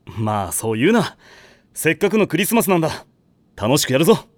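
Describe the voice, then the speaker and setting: Casting: good-natured boy captain, casual command, animated intonation, dry studio sound. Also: fairly high pitch, fairly quick, no room sound. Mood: easygoing. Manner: reassuring, authoritative, casual. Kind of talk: anime audio drama acting.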